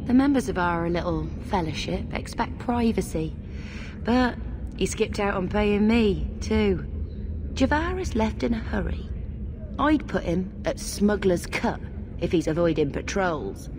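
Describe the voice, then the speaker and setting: A woman playing a hard-nosed guild dealer in a video game, brisk and matter-of-fact. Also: medium pitch, expressive, background noise. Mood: businesslike, dry. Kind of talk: video game cutscene dialogue.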